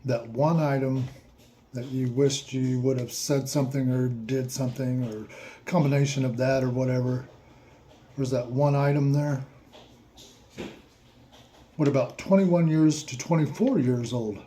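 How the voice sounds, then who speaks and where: Man, very dark mellow tone, reflective questioning, faint hiss behind. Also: medium pitch, steady tone. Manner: slow, repetitive questions with mock-surprised asides.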